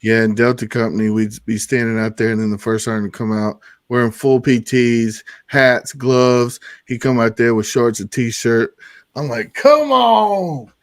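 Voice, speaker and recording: A man's voice: mid-range voice, measured pace, dry recording, clean studio sound. A man hosting a podcast in an informal, agreeable, conversational way, reacting to his guest and swapping stories. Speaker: podcast host, military veteran. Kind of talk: casual chat.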